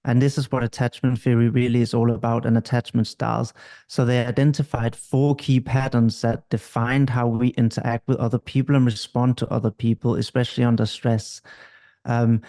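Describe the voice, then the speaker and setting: Guy, low-key mellow voice, flat steady delivery, explains stuff patiently. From Denmark. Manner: calm, measured, explains at length. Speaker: guest couples therapist. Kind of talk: explanatory interview talk.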